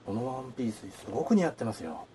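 Quick speech in a middle pitch from an actor as a polite, gentle man in a radio drama. He is attentive and courteous. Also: sing-song, a little hiss or hum, close to an everyday voice. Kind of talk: Japanese radio drama acting.

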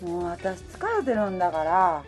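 A woman's voice: lowish voice, very animated, moderate pace, faint music behind. A woman playing a romantic, easily flustered working woman, in radio drama dialogue and first-person narration. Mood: weary, annoyed.

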